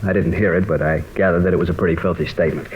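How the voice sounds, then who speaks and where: A man playing an earnest, troubled American high school teacher in a mid-century radio drama, explaining things hesitantly. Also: steady tone, racing, natural voice. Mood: troubled, dismayed. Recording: dull, lightly noisy old radio recording.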